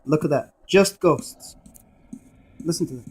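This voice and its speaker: A man's voice: fairly high pitch, measured pace, darkish tone, breathy edge. A man giving a casual, emphatic and repetitive hands-on gadget demonstration. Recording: noisy room with device static. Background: radio scanning static.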